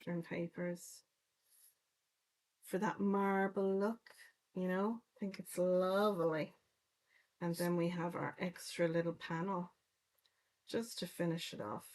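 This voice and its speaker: A middle-aged woman from County Clare with a soft Irish accent, chatting casually while she works through a card-making livestream. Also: fairly low pitch, fairly even intonation, slow, pauses often. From Ireland, County Clare. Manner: chatty, explains each step while working. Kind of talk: casual crafting livestream chat.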